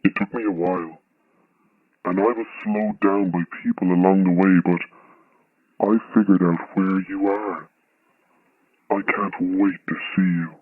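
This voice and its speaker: A menacing male stalker comes over a thin phone line, very deep and husky. He talks with slow, deliberate friendliness, like an obsessed fan in a horror radio play. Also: steady tone, dry recording. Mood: quietly menacing. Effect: telephone filter. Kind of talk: audio horror drama acting.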